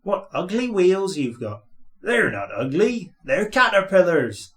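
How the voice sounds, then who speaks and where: A breezy storybook tractor, played by a man, proud of himself and a bit boastful. The voice is pitched high and brisk in manner. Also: big pitch swings, fairly bright tone, heavily acted.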